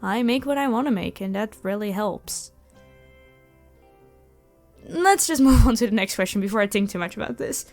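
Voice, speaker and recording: A woman's voice: on the high side, moderate pace, pauses often, darkish tone. A woman talking casually and animatedly to her listeners, in a self-effacing way, like a creator answering questions. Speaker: audio roleplay creator, channel host. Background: background music.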